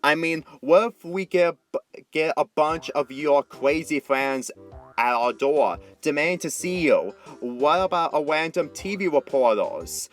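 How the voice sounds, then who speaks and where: A man's voice: on the high side, lively intonation, measured pace, soft background music, pitched above the performer's own voice. A man voicing an exasperated, worrying teenage girl who scolds sternly, in an amateur story reading. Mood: anxious ranting. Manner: stern, anxious, ranting.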